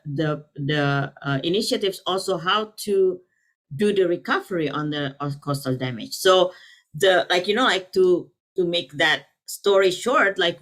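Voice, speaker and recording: A woman's voice: deep voice, a bit bright. A middle-aged woman from Indonesia speaking at a panel, rambling with many fillers and restarts. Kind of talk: casual conference talk.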